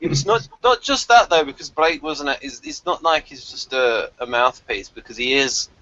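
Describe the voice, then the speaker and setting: A man's voice: fairly high pitch, big pitch swings, moderate pace, sharp tone, no room sound, dull audio. A man hosting a casual panel discussion, explaining things in a chatty, emphatic way. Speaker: host of a TV show review discussion.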